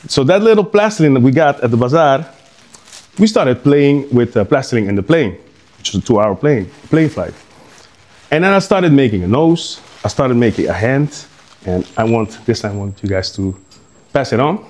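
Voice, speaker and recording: A man's voice: mid-range voice, animated, moderate pace, a little mellow. A middle-aged man from Curaçao giving an informal artist talk to an audience, rambling and hesitant, with many fillers and restarts.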